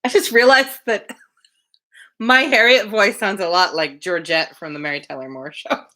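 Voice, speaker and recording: A woman's voice: mid-range voice, moderate pace. A woman reading a novel aloud on a livestream, breaking into playful, casual commentary. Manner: playful, animated. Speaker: reader, livestream host.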